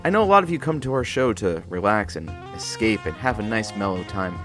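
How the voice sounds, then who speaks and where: An actor playing an easygoing radio sidekick, casually giving listeners an advisory. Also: male voice, lowish voice, expressive, brisk, close to an everyday voice. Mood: gentle, casual. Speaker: show announcer. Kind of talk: casual in-character content advisory. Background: plucked guitar music.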